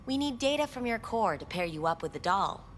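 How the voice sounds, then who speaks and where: A woman playing a poised, enigmatic receptionist at a futuristic club in a video game, with formal, measured, coy delivery. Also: mid-range voice, sing-song, a little hiss or hum, natural voice. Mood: coy, inviting. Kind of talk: video game voice acting, scripted dialogue.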